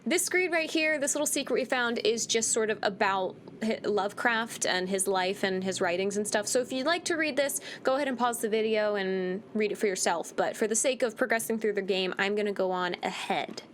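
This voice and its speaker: A woman's voice: medium pitch, brisk. Chatty woman gamer, faint background noise. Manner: chatty, rambling, informal. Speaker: gaming video host. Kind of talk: casual game playthrough commentary.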